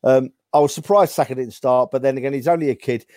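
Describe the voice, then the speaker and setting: Male guest, warm tone, stumbling, dry mic. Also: medium pitch, fast talker, no echo. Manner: hesitant, stumbling. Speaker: panel guest. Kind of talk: casual fan chat.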